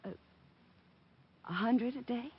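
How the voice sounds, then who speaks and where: Nervous young lady client, soft husky breath in the voice, pleading, muffled old radio show. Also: fairly high pitch, takes it slowly, heavily acted. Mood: disappointed. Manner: hesitant, breathy, earnest. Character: anxious, pleading young female client in danger. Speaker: radio actress. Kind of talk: old-time radio detective drama acting. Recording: dull, lo-fi old radio recording.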